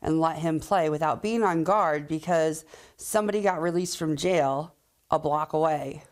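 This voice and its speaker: A woman's voice: sits low, normal speed. A woman speaking candidly as an interviewed local resident, emphatic and plain-spoken.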